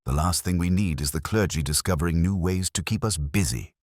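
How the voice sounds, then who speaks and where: A very low-voiced, gruff police superintendent, read by a male narrator in a detective story. He is curt, grumbling and suspicious, with a weary irritability in a dry, close recording. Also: brisk, darkish tone, no room sound. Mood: grumbling warning.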